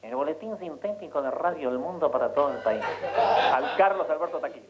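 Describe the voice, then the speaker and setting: A man's voice: fairly high pitch, expressive, fast. A man with a Rioplatense Spanish accent telling radio anecdotes as an announcer on a live link. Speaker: radio announcer and guest correspondent. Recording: muffled, noisy live radio link with hum.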